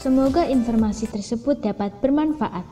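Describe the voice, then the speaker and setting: Mellow woman narrating over soft music. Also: a little high, normal speed. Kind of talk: scripted instructional narration. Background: background music.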